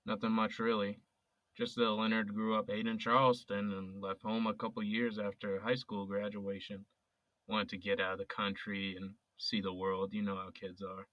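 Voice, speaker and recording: A man voices an earnest young police officer who talks in a sincere, casual way. His voice sits fairly low. The recording is dry and dull, with a muffled lo-fi sound. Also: flat delivery, moderate pace, a bit bright, dry recording, lightly acted. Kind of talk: audio drama acting.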